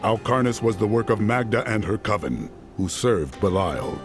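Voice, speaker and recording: An actor voicing a stern, resolute holy warrior hero in a game. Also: male voice, lowish voice, sing-song, normal speed, over music. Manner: stern, gravelly, solemn. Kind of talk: game cutscene acting and narration.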